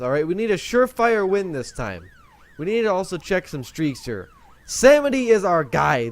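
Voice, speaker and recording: A young man hosting a casual gaming video, rambling and chatty as he corrects himself, with a voice he says is lower than usual today. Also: no echo. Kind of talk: casual let's-play commentary.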